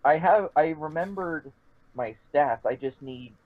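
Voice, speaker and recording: A man's voice: a little high, measured pace. Phone-like audio of a male game player. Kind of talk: casual chat during a game. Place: online voice call. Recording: thin, phone-like voice call audio.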